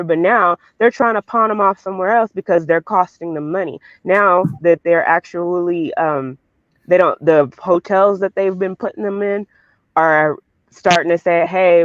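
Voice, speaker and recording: A man with a high voice argues politics in long, run-on stretches with a sarcastic edge. His audio is dull and lo-fi, with a close, dry sound and no room around it. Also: no echo. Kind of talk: casual political discussion.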